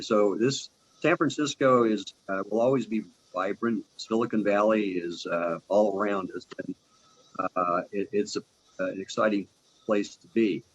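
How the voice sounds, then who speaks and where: A man in his sixties, a tax partner, giving a halting, filler-heavy talk over a video call. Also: on the low side. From Argentina, raised in Canada. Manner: halting, many fillers and restarts. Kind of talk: informal presentation on a video call. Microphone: video call microphone. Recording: dull lo-fi call audio.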